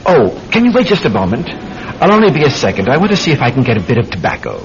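Eager, animated young man. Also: mid-range voice, fast talker. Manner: keen, chatty. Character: eager, chummy young nephew of a policeman. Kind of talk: old-time radio drama acting. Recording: dull, noisy old radio recording.

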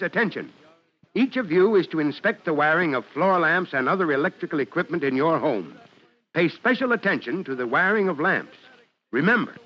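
An actor playing a brisk fire chief giving children a formal, commanding scripted radio address. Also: male voice, on the high side. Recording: dull vintage radio recording.

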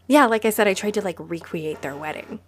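A woman's voice: mid-range voice, a little fast, close to an everyday voice. A woman playing a friendly, easily flustered vampire who runs a cozy cafe, chatty and apologetic, in a roleplay audio drama spoken to the listener. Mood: cheerful, chatty.

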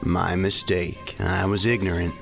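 A deferential young soldier in a sci-fi audio drama. His voice is deep and slow, hesitant and flat as he placates, with music clearly behind him. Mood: uneasy, placating.

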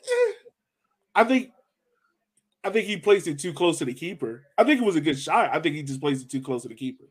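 A man's voice: on the high side, wildly swooping pitch, fairly quick, husky. A man hosting a casual sports discussion, talking conversationally and putting questions to his co-hosts. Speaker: podcast host. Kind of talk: casual sports analysis chat.

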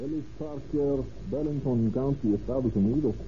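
A man's voice: mid-range voice, brisk, close to an everyday voice. Actor as male foreign official, formal, clipped message reading, tinny vintage radio drama, some hiss. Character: foreign port captain and police chief replying by cable. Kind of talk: old-time radio drama acting. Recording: tinny, noisy old radio broadcast.

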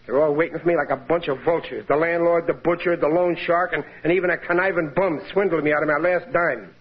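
A man's voice: fairly high pitch, steady tone, fast talker, close to an everyday voice. A man playing a grumbling, broke working-class husband. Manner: exasperated, grumbling. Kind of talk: 1940s radio acting. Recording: muffled old radio broadcast.

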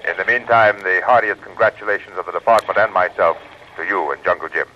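A man's voice: low-pitched, steady tone, quick pace. A man playing an authoritative colonel talking over shortwave radio in old radio drama style. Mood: approving. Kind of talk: 1940s radio acting. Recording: noisy, dull shortwave radio sound.

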